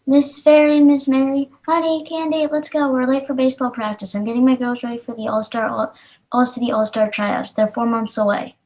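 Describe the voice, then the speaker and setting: A woman's voice: high voice, flat delivery, fairly quick, a little mellow, close to an everyday voice. A woman reading a vain, dismissive stepmother who boasts about her girls in readers theater. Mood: bustling.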